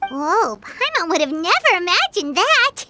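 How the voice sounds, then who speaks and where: A tiny floating fairy companion from a video game. The voice is extremely high, bright and swooping, like a small child's. She sounds chirpy, earnest and supportive. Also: very animated, measured pace, soft background music, exaggerated voice. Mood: curious.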